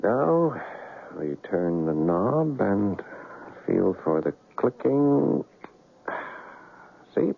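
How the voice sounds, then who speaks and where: Low-voiced, courteous reformed safecracker. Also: male voice, big pitch swings, very slow, vocal fry, long pauses, husky, heavily acted. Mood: calm, deliberate. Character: gentle reformed safecracker, a man saying goodbye to his fiancée. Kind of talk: old-time radio drama acting. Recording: muffled narrow-band radio, faint hiss.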